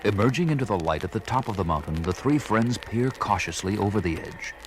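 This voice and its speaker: A man's voice: on the low side, lively intonation, a little fast, noisy background, lightly acted. A man narrating a 1970s children's adventure record in a theatrical, urgent storytelling style.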